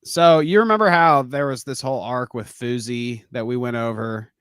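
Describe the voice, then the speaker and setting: A man's voice: mid-range voice, normal speed, fairly bright tone, clear, resonant tone, dry recording, clean studio sound. Laughing casual male podcast co-host. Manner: laughs often, swears casually. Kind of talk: casual chat.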